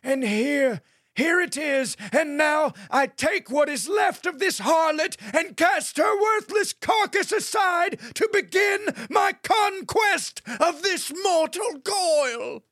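A man's voice: very high voice, animated, slow, a bit bright, no echo, studio quality, heavily acted. A man acting a megalomaniac villain tycoon in an audio drama, declaiming grandly and theatrically. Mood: grandiose, raving. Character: megalomaniac male villain tycoon turned self-declared god.

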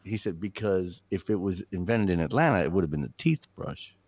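A man's voice: deep voice, fairly quick, husky, no echo. A man, a filmmaker guest, chatting animatedly on a podcast, swearing and joking. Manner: animated, swears, jokes, gets choked up. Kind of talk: casual podcast chat. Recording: dull lo-fi, dry.

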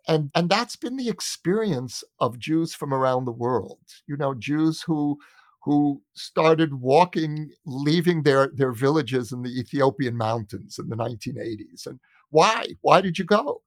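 A middle-aged American man speaking reflectively as an interview guest, making his case deliberately and emphatically, repeating words and pausing for weight. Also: on the high side, lively intonation, no room sound. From United States. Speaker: interview guest, journalist and author. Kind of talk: reflective conversational argument in an interview.

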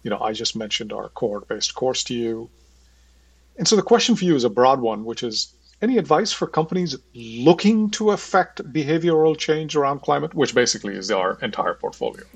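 Gravelly, husky man hosting an interview. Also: medium pitch, very animated, fairly quick, no room sound, recorded from a distance. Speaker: podcast host and interviewer. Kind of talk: measured interview questioning.

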